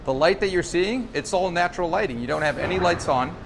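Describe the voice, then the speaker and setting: Higher-pitched man pitching over street noise. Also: animated, a little fast, vocal fry. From Chile. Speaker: property developer giving a tour. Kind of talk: casual walk-through sales pitch. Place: walking outdoors on a city street and at a building site. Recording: outdoor street noise with traffic.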